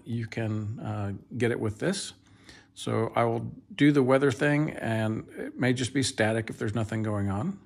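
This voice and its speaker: Creaky-voiced man demonstrating a product. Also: medium pitch, a little mellow. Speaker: product reviewer. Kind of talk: casual unscripted product demonstration. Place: home kitchen, indoors.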